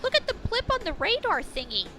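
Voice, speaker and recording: A woman voicing an excitable, bubbly cartoon unicorn-cat in a dramatic reading. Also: extremely high pitch, fairly quick, music in the background, voice pushed up, exaggerated voice. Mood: alert, curious.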